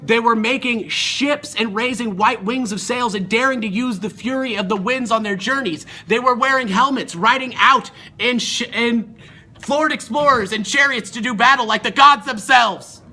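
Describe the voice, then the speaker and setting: A man's voice: very high-pitched, unhurried, bright, forceful tone. A male student performer telling a myth as a dramatic story in an amateur recording.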